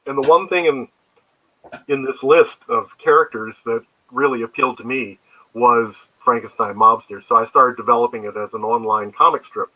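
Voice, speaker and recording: A man's voice: mid-range voice, steady tone, normal speed, pushed and bright, poor audio. An older man, a guest comics creator, telling rambling anecdotes in a casual interview.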